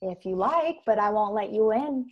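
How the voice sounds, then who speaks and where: Woman playing an earnest proud young woman, formal measured diction, mid-pitched, far-future radio drama. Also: lively intonation, dull audio, close to an everyday voice. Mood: earnest, firm. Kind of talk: science-fiction radio play acting. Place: bedroom on video call. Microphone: earbud headset.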